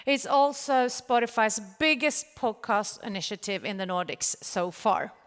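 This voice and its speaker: A woman's voice: on the high side, animated, unhurried, clean tone. A woman giving a prepared conference presentation. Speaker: conference speaker. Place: large conference hall. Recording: reverberant hall.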